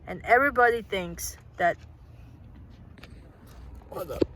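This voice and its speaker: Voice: medium pitch, lively intonation, takes it slowly, fairly bright tone. A vlogger from the Philippines, a Tagalog speaker, talking earnestly in a casual heartfelt way, often restarting and repeating words. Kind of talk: casual heartfelt vlog talk.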